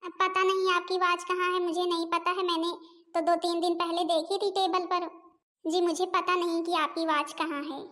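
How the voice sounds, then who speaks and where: A woman's voice: extremely high pitch, level tone, moderate pace, bright, forceful tone. A woman voicing a young, dutiful, long-suffering daughter-in-law in a family comedy cartoon, deferential and chatty. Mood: defensive.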